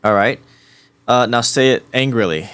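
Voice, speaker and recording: A man's voice: medium pitch, normal speed, fairly bright tone, smooth, dry recording. Rambling man, dry close sound. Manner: rambling, repeats himself, rephrases often. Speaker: host. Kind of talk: casual chat with an AI voice assistant.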